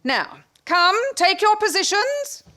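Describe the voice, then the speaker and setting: Woman as high-voiced, bossy amateur-theatre lady. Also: sing-song, takes it slowly, sharp tone, heavily acted. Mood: bossy, instructing. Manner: prim, coaxing, commanding. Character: bossy, brisk unmarried lady directing amateur actors. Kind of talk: stage comedy performed as radio play.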